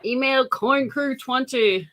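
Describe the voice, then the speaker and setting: A woman hosting a casual live morning show, playful and teasing, with quick comebacks. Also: high-pitched, fairly bright tone. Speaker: host.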